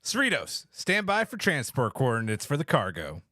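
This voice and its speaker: A man playing a brash, cocky starship commander in an animated sci-fi table read, with emphatic, exclamatory delivery. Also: medium pitch, moderate pace, no echo, clean studio sound. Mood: commanding.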